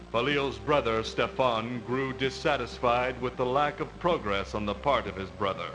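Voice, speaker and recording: A man narrating a video game story in a formal, measured, scripted way. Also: medium pitch, poor audio. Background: music.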